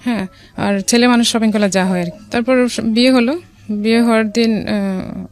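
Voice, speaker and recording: A woman from Bangladesh with a Bangladeshi accent, telling a personal story as a radio guest in a casual, somewhat halting way. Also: on the high side, fairly even intonation. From Dhaka, Bangladesh. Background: music.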